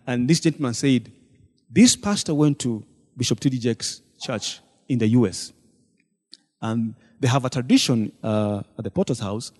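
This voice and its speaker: A man speaks to a church from the front, retelling a meeting and slipping into the voices of the people in it. His voice is mellow and mid-pitched. A long reverb trails each phrase through the big hall. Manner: storytelling, acting out quoted dialogue. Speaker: deacon addressing a church congregation.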